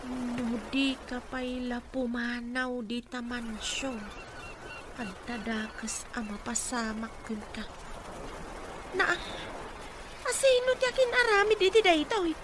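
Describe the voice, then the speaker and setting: A woman's voice: a little high, slow pace, pauses often, noisy background. An actress playing a concerned, insistent female co-worker at a mansion in a radio drama, with an Ilocano accent.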